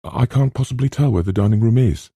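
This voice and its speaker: A man's voice: medium pitch, fairly quick, dark tone, husky, dry recording, studio quality, pitched above the performer's own voice. A man voicing a spirited, theatrical young woman with an emphatic, wry manner. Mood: bewildered.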